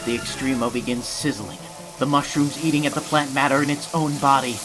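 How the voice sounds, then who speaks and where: Audio drama narrator: man of medium pitch, dramatic scripted storytelling, music running underneath. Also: fairly even intonation, brisk, rough recording.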